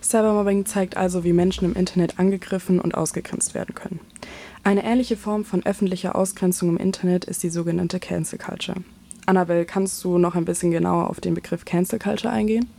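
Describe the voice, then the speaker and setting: Low gravelly young woman presenter. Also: steady tone, quick pace, warm tone. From Germany. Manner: reads from script, poses questions. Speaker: student radio presenter. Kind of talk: scripted moderation.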